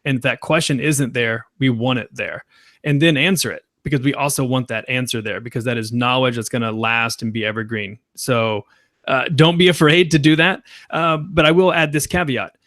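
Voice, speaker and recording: A man's voice: medium pitch, normal speed, fairly bright tone, dry recording, studio quality. A man speaking as a podcast guest, enthusiastic and full of fillers as he explains. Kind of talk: casual explanation.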